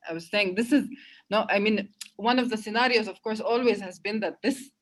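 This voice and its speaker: A woman speaking in a casual unscripted discussion, halting and often breaking off to rephrase herself. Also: mid-range voice. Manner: halting, self-interrupting, conversational.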